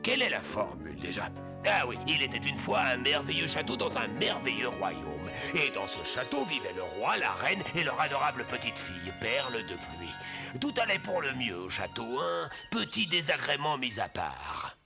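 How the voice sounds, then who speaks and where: A man's voice: medium pitch, moderate pace, vocal fry, over music. A man voicing a kindly, whimsical godfather telling a fairy tale in a cartoon, expressively. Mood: playful. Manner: expressive, savouring storyteller. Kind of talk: storytelling in an animated film.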